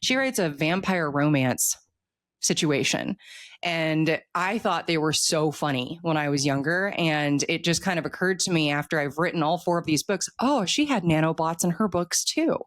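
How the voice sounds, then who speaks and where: A woman's voice: deep voice, lively intonation, normal speed, dry recording, studio quality. A middle-aged American woman, an author, chatting informally in an interview and acting out little quoted reactions. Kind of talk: casual interview chat.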